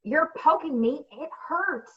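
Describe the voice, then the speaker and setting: A woman's voice: high-pitched, lively intonation, slow pace. A woman playing an exhausted, frantic single mother in a comedic radio play, with melodramatic exasperation. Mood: pained, irritated. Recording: dull, distant mic.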